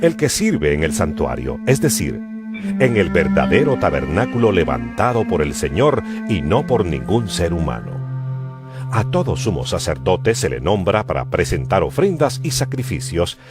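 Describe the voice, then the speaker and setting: Male scripture narrator. Deep, highly expressive, declamatory delivery over clear background music. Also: moderate pace.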